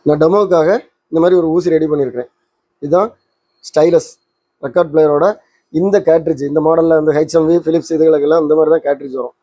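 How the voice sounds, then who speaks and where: A man presents an informal demonstration. His voice sits a little high for a man and has a soft, mellow tone. He speaks quickly, guiding viewers step by step, in a close, dry sound. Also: steady tone, no room sound, dull audio. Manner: explains step by step, addressing viewers directly. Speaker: presenter of a record player tutorial channel.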